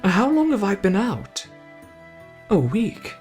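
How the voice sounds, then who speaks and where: A man playing a brash, excitable young hero in a dramatic, playful ASMR roleplay addressed to the listener. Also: high voice, slow pace, faint music behind, voice pushed up, full character voice. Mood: surprised, curious.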